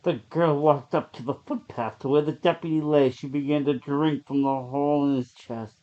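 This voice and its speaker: A man reading a horror story aloud as a dramatic narrator, with deliberate, suspenseful delivery. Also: on the high side, fairly even intonation. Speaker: story reader. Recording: dull, lo-fi sound.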